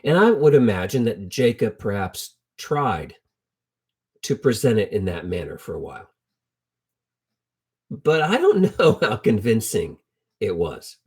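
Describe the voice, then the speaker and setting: A man's voice: on the low side, very animated, measured pace, long pauses, a little mellow, breathy edge. A middle-aged man teaching from the Bible in an informal, storytelling sermon style, conversational and colloquial, repeating phrases for emphasis. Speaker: preacher teaching a Bible lesson.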